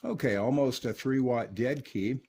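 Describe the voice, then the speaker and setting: A man casually reviewing and testing a vintage radio in his workshop, relaxed and peppered with filler words. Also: medium pitch, normal speed, very noisy.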